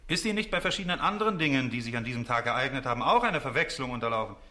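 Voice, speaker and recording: A pushy courtroom prosecutor, radio play, quick forceful voice, formal and sceptical. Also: male voice, medium pitch, expressive. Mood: sceptical, pressing. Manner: formal, probing, pointed. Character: sharp, insistent male prosecuting barrister, sceptical.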